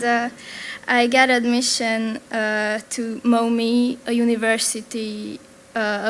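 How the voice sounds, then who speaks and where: A young woman with a Hungarian accent presents her drawings and paintings, halting often and restarting her sentences. She speaks slowly in a fairly high voice that stays flat and even. Her words come carefully, as if she is nervous. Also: a little hiss or hum. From Transylvania. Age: young adult. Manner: hesitant, many pauses and restarts. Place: event venue.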